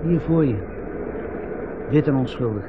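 An actor playing an anxious, fussy husband in a radio drama, speaking in fretful, hesitant short phrases. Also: male voice, medium pitch, unhurried, lightly acted. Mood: distracted. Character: anxious, fussy husband on a hot holiday trip. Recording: muffled, noisy 1970s radio recording.

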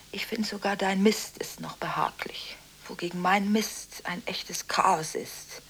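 An actress playing a volatile, contradictory woman arguing through one side of frantic telephone calls in a radio drama. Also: low-quality recording. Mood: hushed, bitter. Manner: abrupt swings between hushed confiding and shrill scolding.

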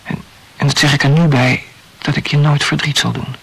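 Casting: wordy, rationalizing lover for radio drama, very husky and breathy, self-absorbed philosopher. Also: male voice, fairly high pitch, fairly even intonation, normal speed, fairly bright tone, heavily acted, rough recording. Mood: wry. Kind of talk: intimate radio play dialogue.

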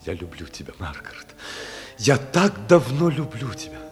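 An actor in a radio play playing an earnest, impassioned politician torn by secret love, speaking haltingly. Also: male voice, mid-range voice, sing-song, loud music behind the voice, close to an everyday voice. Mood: tender confession.

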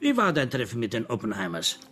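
Sly, lively aristocratic schemer. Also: male voice, medium pitch, quick pace. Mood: sly, mocking. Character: sly Romanian aristocrat, small man, evasive fixer. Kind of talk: German radio crime drama acting.

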